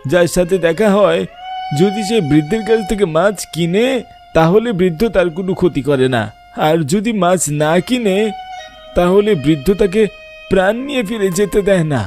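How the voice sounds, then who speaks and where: A man playing a solemn village market watchman who gravely recounts a legend in a horror cartoon. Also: sits high, normal speed, a little mellow, faint music behind, lightly acted. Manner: grave, explanatory.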